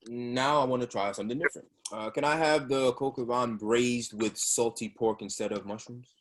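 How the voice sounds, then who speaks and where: A man's voice: mid-range voice, steady tone, normal speed, lightly acted. A man playing an exasperated, defensive college-age son in a play reading. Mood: testy. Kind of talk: readers theatre play reading.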